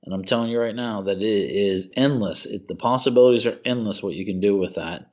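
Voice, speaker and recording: Podcast co-host, man, lower voice, excitable gusher, lo-fi sound. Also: fast. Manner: excitable, gushing, says "dude" often. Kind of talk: casual chat.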